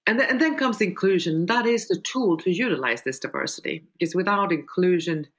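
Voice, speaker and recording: Older lady on a video call panel, low voice, explains things slowly with stops and starts. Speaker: panel speaker and mentor. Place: small furnished room indoors.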